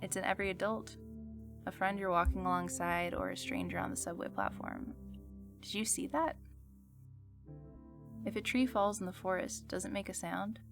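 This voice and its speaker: A woman playing the musing host of an eerie fiction radio show, speaking in a measured, contemplative way. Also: mid-range voice, lively intonation, music in the background, close to an everyday voice. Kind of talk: scripted reflective fiction narration.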